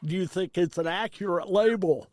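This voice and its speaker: A man's voice: high-pitched, moderate pace, darkish tone, no room sound. A man hosting a podcast, giving introductions and asking interview questions. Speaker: podcast host.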